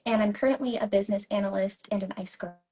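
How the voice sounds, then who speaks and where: A woman's voice: medium pitch, fairly even intonation, fast, warm tone. A woman in her twenties from California, a ballet dancer and teacher, speaking earnestly as an interview guest and now and then checking notes. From San Jose, California. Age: 20s. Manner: earnest, at times reads from notes. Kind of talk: casual interview chat.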